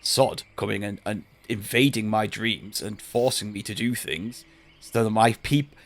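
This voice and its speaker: Flustered man with low voice. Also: steady tone, a little slow, vocal fry. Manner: flustered, stumbling defensively. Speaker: player.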